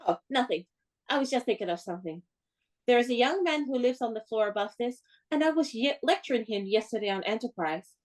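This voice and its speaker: A woman's voice: on the high side, animated, brisk, close to an everyday voice. An actress playing a spirited, playful, bossy young woman in a radio play, with a theatrical, instructing manner. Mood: amused, musing.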